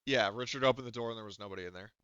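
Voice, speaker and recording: A man's voice: mid-range voice, rapid-fire, a bit bright, clean tone, dry recording, dull audio. A man casually improvising narration as game master of a tabletop game, rambling and joking as he goes.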